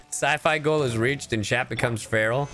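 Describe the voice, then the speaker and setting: A man livestreaming a game, chatting casually and goofily with his audience in an animated way. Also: medium pitch, moderate pace, soft background music. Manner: animated, goofy, addresses chat directly. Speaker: livestreamer, VTuber. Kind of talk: casual livestream chat while gaming.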